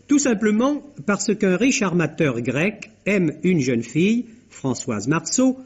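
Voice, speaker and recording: A man's voice: fairly high pitch, a little mellow, breathy edge. A man delivering a scripted film review as a 1950s radio critic, explaining with emphatic phrasing. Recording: dull lo-fi old radio recording.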